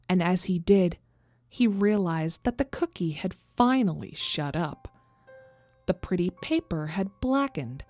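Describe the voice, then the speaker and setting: A woman's voice: lowish voice, dark tone. A woman reading scripted narration of a horror story as the narrator.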